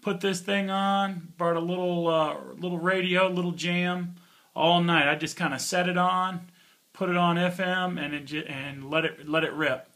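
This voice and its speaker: A man's voice: sits high, fairly even intonation, moderate pace, pushed and bright. A man giving an informal, offhand hands-on product review in casual everyday speech. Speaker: gear reviewer.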